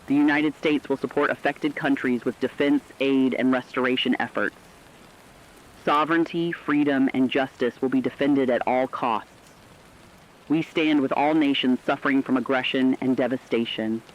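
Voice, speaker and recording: A man playing a grave radio correspondent, reading war news in a solemn, forceful, declamatory scripted style. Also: medium pitch, steady tone, moderate pace, muffled recording, lightly acted. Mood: defiant, resolute. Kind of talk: scripted dramatic news broadcast.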